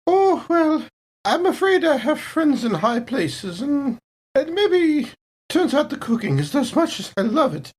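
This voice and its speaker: A woman's voice: high voice, big pitch swings, a little slow, darkish tone. A woman voicing a genteel, coolly confident lady with a dainty, sweetly dangerous manner in a tabletop game. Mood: sweet, sly. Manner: dainty, polite, mock-apologetic.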